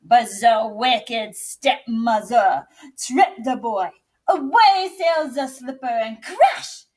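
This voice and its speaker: Expressive woman storyteller, high and slow. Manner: expressive, theatrical, deliberate. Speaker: English teacher and drama director reading aloud. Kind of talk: dramatic children's storybook reading.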